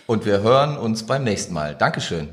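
A man's voice: on the low side, big pitch swings, a little fast, fairly bright tone. A man from the Ruhr region co-hosting a podcast, talking colloquially and somewhat hesitantly. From Ruhr region, Germany. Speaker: podcast co-host, author. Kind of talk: casual podcast chat.

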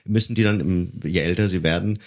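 A man in casual conversation, explaining things informally with hesitant pauses. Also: deep voice, a little mellow. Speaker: podcast speaker knowledgeable about singing.

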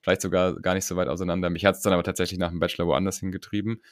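A man hosting a podcast interview, chatty and upbeat, with fillers and quick asides. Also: deep voice, level tone, dry recording, clean studio sound. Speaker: podcast host.

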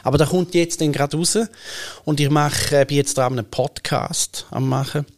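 Creaky-voiced Swiss German man in his forties. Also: fairly high pitch, steady tone, moderate pace. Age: 40s. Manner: chatty, emphatic, self-interrupting. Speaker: musician, children's band leader, interview guest. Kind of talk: casual podcast interview.

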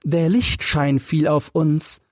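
Audiobook role: shy young lady played by a male reader, earnest and formal, lifted pitch, slightly husky, dry close sound. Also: fairly even intonation, slow pace, darkish tone, no room sound. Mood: hushed, uneasy. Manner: careful, formal, earnest storytelling. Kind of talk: audiobook character narration.

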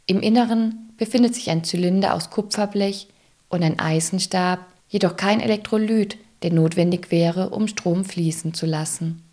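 A woman's voice: fairly low pitch, moderate pace, darkish tone. A woman reading scripted narration for an audio drama.

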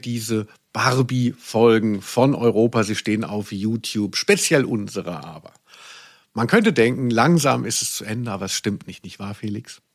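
A man's voice: lowish voice, animated, normal speed. A man co-hosting a podcast, playing quizmaster in casual, teasing banter with a mock game-show delivery.

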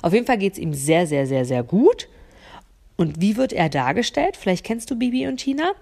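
A woman's voice: medium pitch, very animated, moderate pace, warm tone. A woman talking unscripted to the listener as a solo podcast host, often searching for words and repeating them for emphasis.

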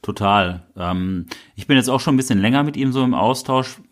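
A man's voice: mid-range voice, fairly quick. A man co-hosting a podcast, talking casually and rambling with enthusiasm. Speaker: podcast co-host.

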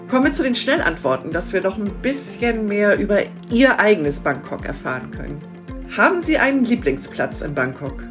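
Brisk female interviewer over music. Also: mid-range voice, very animated. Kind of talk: interview questions.

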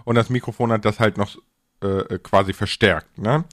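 A man's voice: on the low side, steady tone, normal speed, dry recording. Colloquial man chatting, dry close sound, sibilant s. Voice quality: slightly stressed sibilant s sounds. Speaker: podcast host and content creator. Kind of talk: casual podcast chat.

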